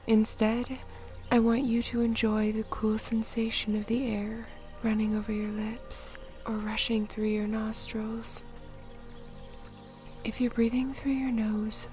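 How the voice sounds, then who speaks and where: Whispering woman guiding a slow meditation. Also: fairly high pitch. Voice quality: breathy, whispery. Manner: soothing, gentle, measured. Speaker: meditation guide. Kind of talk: guided meditation, soft-spoken narration. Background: music.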